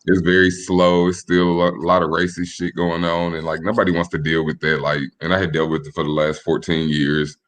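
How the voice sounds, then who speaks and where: A man in his late twenties, from Michigan, speaking as a podcast guest, relaxed and a bit hesitant with restarts. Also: low voice, fairly quick, few pauses. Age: 20s. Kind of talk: casual interview chat.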